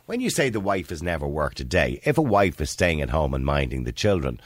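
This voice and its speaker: Animated radio host, deep voice. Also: male voice, fairly quick, no echo. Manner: animated, interrupts, argues his point. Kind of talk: radio phone-in debate.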